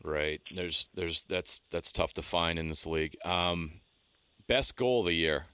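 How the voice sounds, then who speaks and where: A man's voice: deep voice, unhurried, sharp tone, clear, resonant tone, dry recording. A man co-hosting sports talk radio, chatting casually and putting questions to a guest. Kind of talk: casual interviewing.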